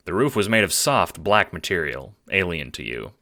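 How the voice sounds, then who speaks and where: A man narrating a scripted audio drama in a measured, dramatic storytelling manner. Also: sits low, sing-song, pushed and bright.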